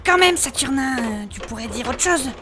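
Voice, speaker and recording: A woman's voice: high voice, expressive, normal speed, noisy background. A woman playing a coquettish, easily offended armoured adventurer in a French-style comic fantasy audio drama, with hesitant, colloquial, cooing speech. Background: music.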